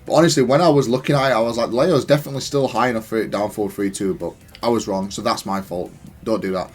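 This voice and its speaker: Man with a lowish voice, casual game breakdown, matter of fact, faint music behind him. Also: fast talker. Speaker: gaming content creator. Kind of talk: casual analytical gameplay commentary.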